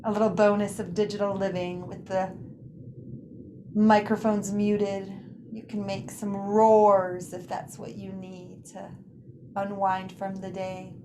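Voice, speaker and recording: Slow, even-toned woman guiding meditation. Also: medium pitch, background noise. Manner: unhurried, soothing, with frequent pauses between phrases. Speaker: guided meditation teacher. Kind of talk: guided yoga nidra relaxation.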